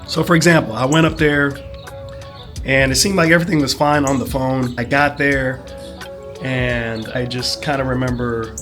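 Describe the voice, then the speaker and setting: Dude, kinda hoarse from being sick, rambling story w lots of "you know", music playing under him. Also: male voice, mid-range voice, fairly even intonation, normal speed, fairly bright tone. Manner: rambling, full of fillers like "whatnot" and "you know". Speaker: motorcycle vlogger. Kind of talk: casual storytelling rant.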